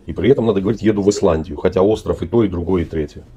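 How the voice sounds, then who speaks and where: Middle-aged guy, super deep dark voice, webcam chat, acting all innocent. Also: slightly rough, muffled recording. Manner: feigned naive, drawn-out questioning. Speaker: video chat participant. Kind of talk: casual video chat. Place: indoor room.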